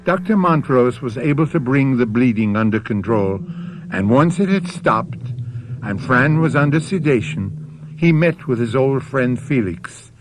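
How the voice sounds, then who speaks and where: A man narrates a radio mystery drama as its host. His medium-pitched voice is deliberate and ominous, with music playing clearly underneath. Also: animated, poor audio.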